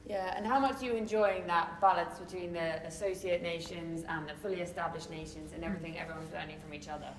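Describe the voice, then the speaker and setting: A woman's voice: fairly low pitch, steady tone, quick pace. A woman moderating a sports press conference, asking casual interview questions. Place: indoor press conference room. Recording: echoey room, distant mic, faint noise.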